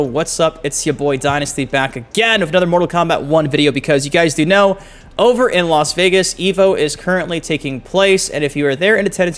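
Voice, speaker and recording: Higher-voiced man doing casual game commentary. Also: a bit bright. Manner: informal, slangy, enthusiastic fan talk. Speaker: gaming video host.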